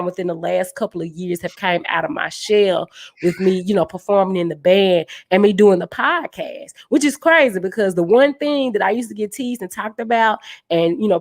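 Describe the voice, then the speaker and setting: A woman with a deep voice, hosting a casual podcast chat and speaking in an earnest, encouraging way as a dance team leader.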